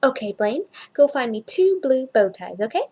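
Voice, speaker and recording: Woman playing a gentle, high-voiced shop assistant. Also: sing-song, moderate pace, slightly rough, heavily acted. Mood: encouraging. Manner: gentle, coaxing, reassuring. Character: kind, helpful female shop assistant soothing a child.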